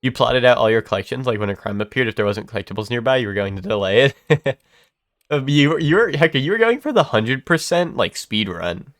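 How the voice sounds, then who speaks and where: A man's voice: medium pitch, lively intonation, brisk, a bit bright. A young man with a congested, stuffy voice chats loosely while playing a game on stream. His talk rambles, full of fillers, self-corrections and casual swearing. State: congested from a cold. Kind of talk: casual chat while gaming.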